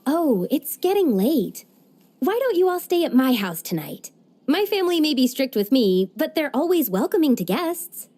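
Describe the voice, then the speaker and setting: A woman's voice: sits high, big pitch swings, moderate pace. An earnest young tea-farm girl. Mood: wistful. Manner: earnest, wistful. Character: young woman from a strict tea-farming family. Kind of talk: game cutscene voice acting. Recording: soft game music underneath.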